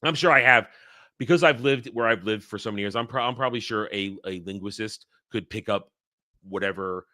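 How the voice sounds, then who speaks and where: A man's voice: fairly low pitch, a little fast, bright, forceful tone, no room sound, studio quality. A man co-hosting a casual movie review show, talking in a loose, rambling way with frequent restarts and fillers. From Northeastern United States.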